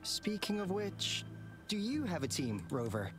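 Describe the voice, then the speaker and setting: A man's voice: high voice, very animated, measured pace, over music. An actor voicing a polite, hopeful young male team member in a video game.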